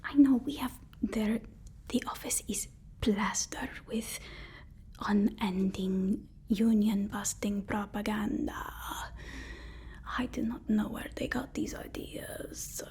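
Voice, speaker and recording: A woman whispers slowly, in an ASMR roleplay as an obsequious assistant to a supervillain. She is fussy and deferential, halting and repeating herself as she goes. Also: medium pitch, steady tone, a little mellow. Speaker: ASMR performer.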